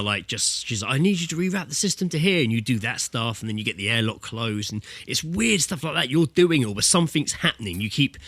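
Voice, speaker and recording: A man's voice: fairly low pitch, a bit bright, no echo. A British man co-hosting a podcast, chatting informally about video games with some swearing. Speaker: podcast co-host. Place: indoors, remote internet voice call. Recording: dry, close voice-call sound.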